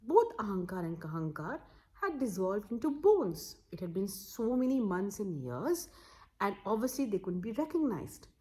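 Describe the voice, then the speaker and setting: Animated woman telling a folktale. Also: medium pitch, normal speed. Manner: dramatic, repeats words for emphasis. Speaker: storyteller and host.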